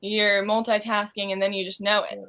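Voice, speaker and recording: A woman's voice: mid-range voice, flat delivery, normal speed, pushed and bright, clear, resonant tone. A woman speaking informally as an interviewed stage actor, offering advice in a reflective, casual way.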